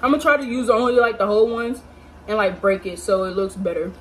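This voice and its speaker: A woman's voice: mid-range voice, quick pace. A young American woman chatting casually and playfully in slang to viewers as she hosts a home cooking vlog. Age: young adult. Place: small home kitchen, indoors. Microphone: phone or camera propped at a distance. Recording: distant mic, noisy home kitchen ambience.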